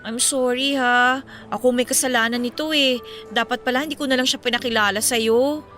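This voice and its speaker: An actress playing a chatty, concerned young best friend in a radio drama, speaking expressively and with sympathy. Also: female voice, a little high, fairly even intonation, fairly quick, fairly bright tone, faint music behind, lightly acted. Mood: sympathetic. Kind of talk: Filipino radio drama dialogue.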